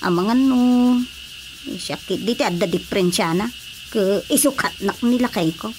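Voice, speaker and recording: A woman's voice: mid-range voice, normal speed, lightly acted. A woman playing a feisty, stubborn wife in an Ilocano-accented radio drama comedy, exclamatory and argumentative. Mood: stubborn, wistful. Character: feisty, stubborn childless wife devoted to her pet. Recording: clearly noisy radio drama recording.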